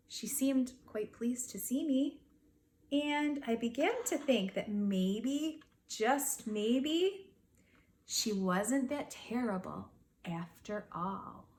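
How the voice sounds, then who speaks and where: A woman's voice: on the high side, darkish tone, slight background noise. A woman voicing a small, indignant, cunning furry beast who tells his storybook tale dramatically. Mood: musing. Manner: dramatic, aggrieved storybook telling.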